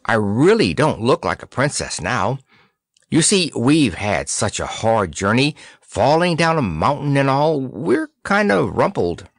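Man putting on a spirited young girl, higher-pitched. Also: sing-song, unhurried, dry recording, clean studio sound. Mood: amused. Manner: spirited, earnest.

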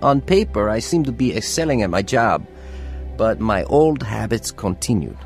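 Skeptical young man, cocky, animated pitch, radio drama, music behind. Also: moderate pace. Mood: reflective. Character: cocky, skeptical young male boxer turned aviation worker. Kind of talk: radio drama acting with first-person narration.

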